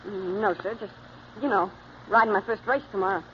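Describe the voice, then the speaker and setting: Casting: man playing boy jockey, high voice, nervous and earnest, old radio drama with a muffled sound. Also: fairly quick, a little hiss or hum, natural voice. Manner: earnest, naive, plain-spoken. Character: eager, nervous teenage boy jockey, younger brother. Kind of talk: 1940s radio acting.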